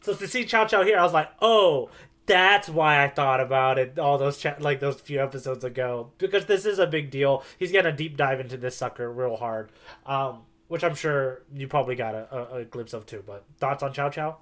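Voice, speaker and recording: A man's voice: mid-range voice, moderate pace, fairly bright tone. A man co-hosting a podcast, chatting casually in a rambling, enthusiastic way. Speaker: podcast co-host. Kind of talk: casual chat.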